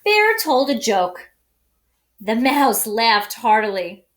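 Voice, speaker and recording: A woman reading a children's story aloud in an expressive, deliberate, dramatic way with pauses. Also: a little high, a little hiss or hum. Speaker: storybook reader.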